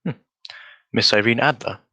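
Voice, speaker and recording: A man acting a cerebral, restrained gentleman detective in a radio drama, speaking formally and courteously. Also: mid-range voice, normal speed, no echo. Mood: reserved, wistful. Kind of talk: adaptation of a 1940s radio drama.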